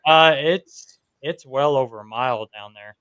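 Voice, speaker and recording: A man's voice: mid-range voice, measured pace, fairly bright tone, smooth, dull audio. A man from New York hosting a podcast, telling stories from investigations in a casual interview chat. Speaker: podcast host.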